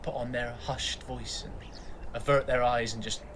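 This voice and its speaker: A man's voice: medium pitch, steady tone, moderate pace, lightly acted. Weary young dad, noisy surroundings man acting. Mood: quietly bitter. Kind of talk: naturalistic audio drama acting.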